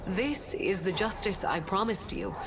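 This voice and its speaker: An actress playing a righteous, stern goddess in a video game, speaking in a grave, measured and formal manner. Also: female voice, medium pitch, lively intonation, natural voice. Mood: solemn. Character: solemn, righteous goddess of justice, stern and noble.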